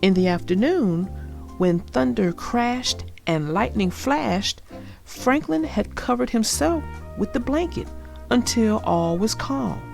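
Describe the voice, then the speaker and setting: A woman narrating a children's storybook aloud with deliberate, expressive phrasing for young listeners. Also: fairly high pitch, gravelly, faint music behind.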